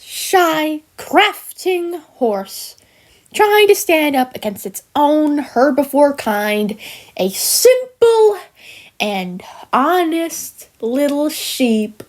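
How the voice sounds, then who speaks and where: A woman voicing a smug, villainous cartoon sheep creature who taunts in a theatrical, mocking manner with drawn-out words and hesitant asides. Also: high-pitched, exaggerated voice. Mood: menacing, condescending. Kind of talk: scripted character monologue for a fan voice-over.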